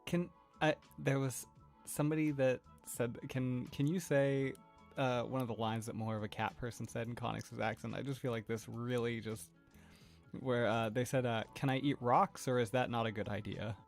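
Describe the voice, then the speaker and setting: A man's voice: mid-range voice, steady tone, moderate pace, soft background music. A man co-hosting a casual livestream who laughs often and reads requests out from the chat. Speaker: livestream co-host.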